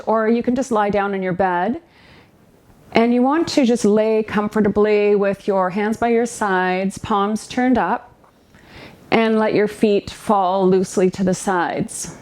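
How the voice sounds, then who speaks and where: A woman leading a guided yoga nidra meditation as a relaxation guide, giving soothing, gentle instructions with pauses between phrases. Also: mid-range voice.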